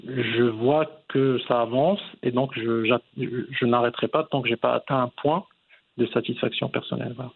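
Man, forties, raspy with vocal fry, thoughtful interview guest, measured conversational delivery. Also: medium pitch, steady tone, phone-quality sound. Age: 40s. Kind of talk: reflective conversational interview answers.

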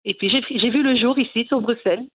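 A woman's voice: a little high, quick pace, slightly rough, phone-quality sound. A woman in her forties from Belgium, a cooking YouTuber answering interview questions earnestly, with many hesitations. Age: 40s.

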